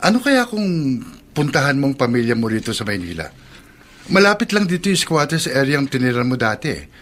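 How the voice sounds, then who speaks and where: A man's voice: mid-range voice, sing-song, brisk, a bit bright. An actor with a Filipino accent playing a caring, patient young man, earnest and coaxing, in a radio drama. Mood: friendly, caring.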